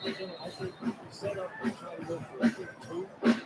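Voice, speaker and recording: A man's voice: very high-pitched, big pitch swings, normal speed, vocal fry. A man giving conversational, opinionated color analysis during a live basketball radio broadcast. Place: courtside in a large indoor arena. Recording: very loud crowd noise over live broadcast. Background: very loud arena crowd.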